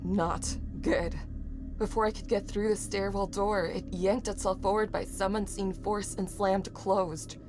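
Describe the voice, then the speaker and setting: An actress plays a determined young woman caught in a horror audio drama. Her voice sits at a middle pitch as she recounts her ordeal and speaks within it. Music runs clearly underneath. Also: little pitch movement, measured pace, natural voice. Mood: tense. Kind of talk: audio drama narration and dialogue.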